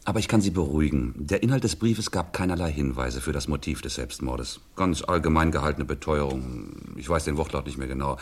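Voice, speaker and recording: An actor playing a gruff, irritable middle-aged police commissioner in a radio crime drama, curt and sardonic. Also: male voice, very deep voice, brisk, hardly any pauses. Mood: dry, dismissive.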